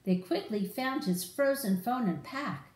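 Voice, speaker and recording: Animated woman reading to children, roomy sound. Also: a little high, measured pace. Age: middle-aged. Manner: animated, addressing children directly. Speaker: storyteller for children. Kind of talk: expressive read-aloud of a picture book to children. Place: room in a home, indoors.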